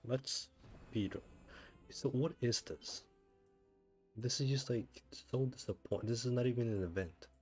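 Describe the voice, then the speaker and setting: A man chatting casually as he livestreams a game, thinking out loud and often asking himself questions. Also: medium pitch, a little slow, long pauses, soft background music. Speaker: livestreamer, gamer. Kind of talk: casual livestream chat while playing a game.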